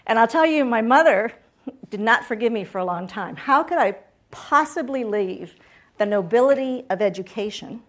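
A middle-aged woman from Puerto Rico giving a chatty guest talk to students, often checking in with the audience. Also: mid-range voice. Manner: chatty, asks audience questions. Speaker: guest speaker. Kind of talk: lively campus talk.

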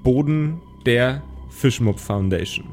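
A man's voice: medium pitch, expressive, slow pace, darkish tone, faint music behind. A man narrating an improvised tabletop audio play as its game master.

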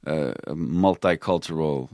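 A middle-aged man from Sweden, a native Swedish speaker, talking as an actor guest in a casual interview, pausing and restarting often. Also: rumbling low, darkish tone, no room sound, studio quality. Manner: halting, many restarts and fillers.

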